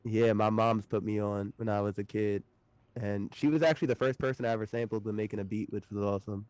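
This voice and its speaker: A man's voice: lowish voice, steady tone, fast, a little mellow, no echo, rough recording. Laid-back man in his late twenties. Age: late 20s. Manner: laid-back, loose, laughs often, swears casually. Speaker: podcast guest. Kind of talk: casual podcast chat.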